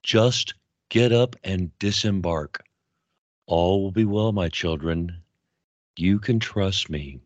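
An actor playing a wise, fatherly man of mystery who gently reassures souls in a fantasy audio drama. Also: deep voice, slow, dry recording. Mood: gentle, kindly.

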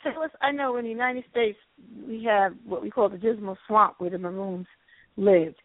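A woman hosting a radio interview, asking brisk questions and giving short prompts to her guest. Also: mid-range voice, fairly even intonation, dry recording. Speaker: radio show host. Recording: phone-line sound, dry.

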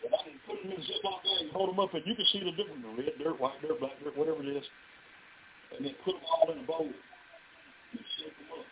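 Southern man, revival preacher, high voice, folksy calls to the crowd, in a tent, tinny phone-like sound. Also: lively intonation, fast. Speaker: pastor. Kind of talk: revival sermon to a live congregation. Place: revival tent near a residential street. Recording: tinny phone-line live stream.

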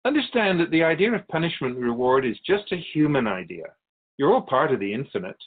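A man playing a wise spirit who keeps souls in a radio drama, teaching in measured explanations with pointed asides. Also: fairly high pitch, big pitch swings, phone-quality sound. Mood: pleased, teaching. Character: ancient male spirit Keeper of Souls, wise storytelling teacher. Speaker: interviewed guest. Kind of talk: scripted radio drama dialogue.

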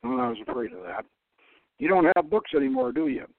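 A man's voice: medium pitch, lively intonation, fairly quick, dry recording, close to an everyday voice. A man voicing a defiant young male prisoner in a dramatic novel reading, with a wry, stubborn, muttering delivery. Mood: resigned, wry. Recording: narrowband radio-like sound, dry.

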